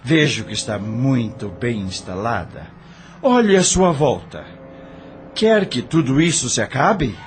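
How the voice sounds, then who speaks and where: An actor playing a troubled doctor who is defensive, curt and pleading, in an audio drama. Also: male voice, medium pitch, big pitch swings, slow, faint music behind. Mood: defiant.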